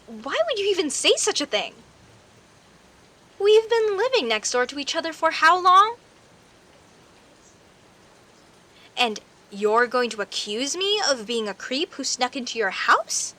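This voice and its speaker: A woman's voice: high voice, big pitch swings, sharp tone, exaggerated voice. A woman playing a friendly, teasing werecat neighbor in an audio roleplay, talking conversationally and straight to the listener. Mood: indignant, defensive. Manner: teasing, indignant, conversational with sighs and pauses. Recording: faint hiss and rain noise. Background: rain ambience.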